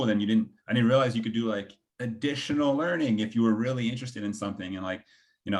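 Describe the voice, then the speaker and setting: A man from Belgium speaking informally as a panelist, talking in a meandering, self-deprecating way. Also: on the low side. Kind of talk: casual panel discussion.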